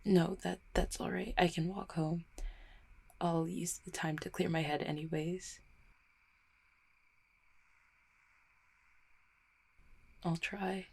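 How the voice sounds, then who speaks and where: A woman's voice: fairly low pitch, little pitch movement, a little mellow, full character voice. A woman acting a guarded, heartbroken small-town mail carrier in an audio roleplay, stammering and blaming herself. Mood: subdued, recovering. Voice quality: husky, creaky. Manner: halting, stammering, self-deprecating. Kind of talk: roleplay audio drama, intimate one-sided conversation.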